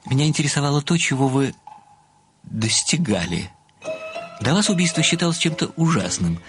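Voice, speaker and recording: An actor playing an obsessive, polite male admirer turned amateur detective in an audio play, speaking deliberately and rhetorically. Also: a little high, animated, music in the background, natural voice. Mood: insistent. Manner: deliberate, rhetorical, deferential yet insistent.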